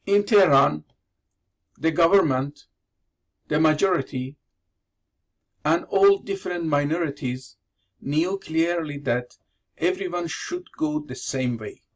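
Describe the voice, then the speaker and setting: Measured Iranian-born man lecturing. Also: on the high side. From Iran. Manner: measured, deliberate delivery in non-native English. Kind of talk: prepared lecture with slides.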